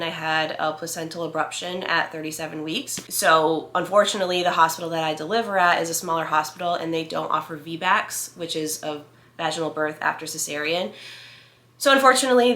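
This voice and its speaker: A congested woman in her thirties talks to her viewers with a stuffy, nasal sound and audible heavy breathing. Her voice is bright and sits a little low, and it rings in an echoey room. She rambles along casually, filling gaps with "like" and "you know" as she reassures them. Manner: chatty, reassuring, many fillers like "like" and "you know". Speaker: vlogger. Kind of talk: casual talk to camera.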